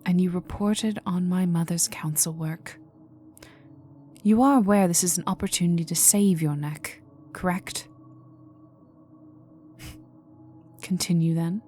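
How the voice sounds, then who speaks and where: A woman playing a cold, vengeful aristocratic vampire in a dark ASMR roleplay, speaking formally and menacingly and often sinking to a whisper. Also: fairly low pitch, takes it slowly, long pauses, soft background music. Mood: probing, icy. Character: cold vengeful aristocratic female vampire, young heiress in mourning.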